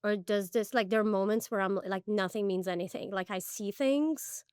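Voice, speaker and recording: A young woman from Iran talks in casual podcast chat, candid and halting, with plenty of fillers and restarts. Her voice sits at a middle pitch. The sound is close and dry, with no room echo. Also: a little mellow, smooth. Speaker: podcast co-host.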